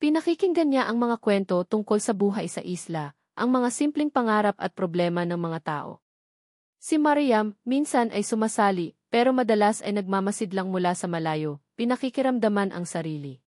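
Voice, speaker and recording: A woman's voice: medium pitch, lively intonation, normal speed, a little mellow, dry recording, studio quality. A woman reading a scripted romance story as its narrator.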